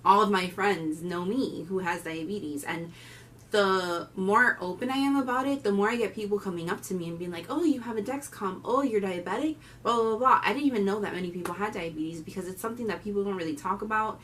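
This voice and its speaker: A woman in her twenties from Miami with a Miami accent, talking candidly to camera on her vlog with loud bursts. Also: medium pitch, a little fast, far from the mic, a little hiss or hum. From Miami, Florida. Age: 20s. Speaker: vlogger. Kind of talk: casual talk to camera.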